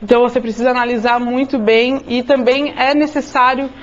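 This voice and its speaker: A woman explaining rules and advice at a seminar, steady, with occasional fillers. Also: on the high side, fairly quick. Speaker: seminar panelist. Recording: dull lo-fi sound.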